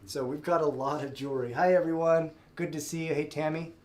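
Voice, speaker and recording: A man's voice: fairly high pitch, fairly even intonation, a little fast, a little mellow. Stuffed-up man hosting a live sale. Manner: friendly, rambling, many fillers and restarts. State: stuffed up with a cold. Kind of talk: casual live-stream chat.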